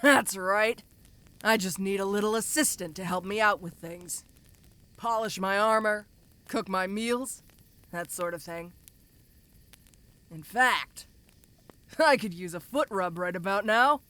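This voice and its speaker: A woman's voice: mid-range voice, measured pace, long pauses, sharp tone. A woman voicing a tough, domineering giantess ogre in a fantasy audio roleplay, talking to the listener in a casual, teasing, condescending way. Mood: casual, coaxing.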